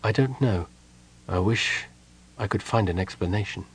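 A man voicing a sorrowful priestess in a storybook reading, murmuring softly with a bitter, weary grace. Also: mid-range voice, big pitch swings, brisk, slight background noise, close to an everyday voice. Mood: helpless. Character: sorrowful, soft-spoken ancient priestess in a young body.

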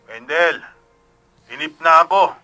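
A man's voice: a little high, slow, bright, forceful tone, faint music behind, heavily acted, rough recording. A male actor playing a menacing crime boss in a Filipino radio drama, sly and threatening, with a Filipino accent. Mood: cold, threatening.

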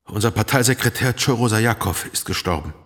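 An actor playing an earnest, troubled herdsman in radio drama dialogue, with a husky voice and polite insistence. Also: lowish voice, fairly quick, a bit bright. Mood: earnest, urgent.

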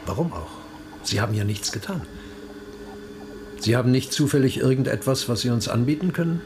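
An actor playing a sly, needling police interrogator in a radio crime drama, ironic and mock-casual. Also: male voice, mid-range voice, expressive, a little fast, close to an everyday voice. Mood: sly, probing.

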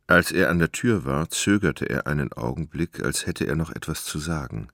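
A man narrating a literary radio drama in a measured, deliberate way. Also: very deep voice, lively intonation, creaky, a bit bright, dry recording, studio quality. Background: music.

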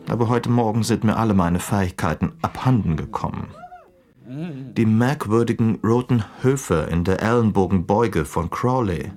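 An actor playing a polite, wry English gentleman investigator in a radio drama, dropping in English interjections. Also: fairly low pitch.

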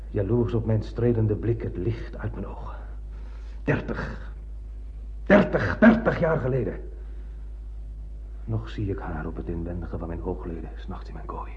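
An actor playing an obsessed, blind older spaceship captain in a radio drama, declaiming poetically and grandly. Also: male voice, sits low, a little hiss or hum. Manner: declamatory, poetic, swinging from hushed to ranting. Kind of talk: theatrical radio drama monologue. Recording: muffled old radio play recording.

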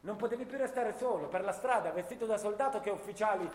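An actor playing a disillusioned eighteen-year-old volunteer soldier of the First World War in a theatrical, declamatory monologue. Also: male voice, high-pitched, quick pace, close to an everyday voice. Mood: wistful recollection. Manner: impassioned, rhythmic, declamatory, piling up lists. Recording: reverberant radio-theatre sound with guitar music.

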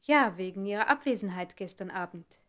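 An actress playing an efficient, polite secretary in a German radio drama, brisk and matter-of-fact. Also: female voice, medium pitch, expressive, fairly bright tone, close to an everyday voice. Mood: businesslike. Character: efficient, polite female secretary reporting to her boss.

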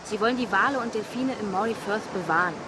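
An actress playing a young, headstrong and earnest daughter with an impatient, insistent manner in a radio drama. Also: female voice, mid-range voice, fast talker, background noise, close to an everyday voice. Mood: earnest, reproachful. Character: headstrong, earnest daughter, passionate about protecting animals. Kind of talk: naturalistic radio drama acting.

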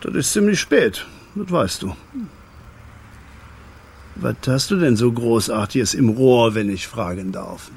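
Husky, quietly menacing loan shark. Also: male voice, mid-range voice, expressive, full character voice. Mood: coolly menacing. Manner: measured, condescending, politely threatening. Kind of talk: German radio drama acting.

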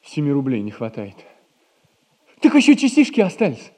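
An actor playing an eager, naive, honest young son in a theatrical audio comedy. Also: male voice, on the high side, huge pitch swings, normal speed, darkish tone. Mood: agitated, relieved. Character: excitable, honest young man, poor widow's devoted son. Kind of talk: classic Russian stage comedy acting, audio play.

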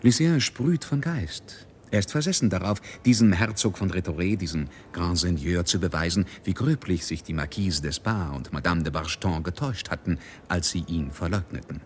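A man narrating a literary radio drama with expressive storytelling and deliberate phrasing. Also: sits low, slight background noise.

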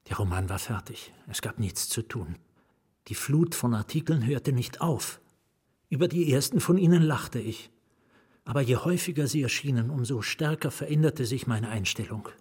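Husky, haunted novelist, slow and breathy. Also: male voice, medium pitch, darkish tone. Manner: deliberate, dropping into whispers. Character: anguished, fearful male novelist recounting his ruin. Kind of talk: literary radio drama first-person narration.

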